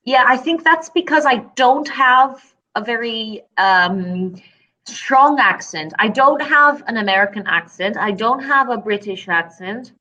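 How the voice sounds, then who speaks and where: Bright-voiced young woman tutor. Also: fairly high pitch, measured pace, muffled recording. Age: young adult. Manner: patient, relaxed, many fillers. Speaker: online English tutor. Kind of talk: casual conversation lesson.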